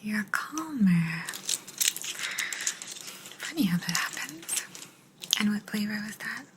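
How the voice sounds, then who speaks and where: A woman playing a soothing, softly bossy alien abductor in a hushed, breathy ASMR whisper roleplay. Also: medium pitch, very animated, background noise. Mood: calm, clinical, attentive. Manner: slow, soothing, hushed, matter-of-fact.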